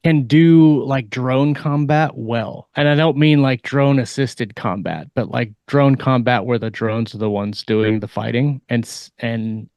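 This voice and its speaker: A man speaks as a panelist in a casual podcast discussion. His mid-pitched voice is recorded dry. He explains in a halting, emphatic way, often repeating words. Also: darkish tone, no echo.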